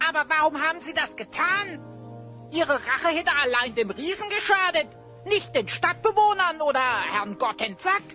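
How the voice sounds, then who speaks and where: A woman's voice: high voice, lively intonation, moderate pace, pushed and bright, faint music behind. A woman voicing a small inquisitive sidekick creature in an audio drama, earnest and questioning. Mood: puzzled, reproachful. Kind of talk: German audio drama acting.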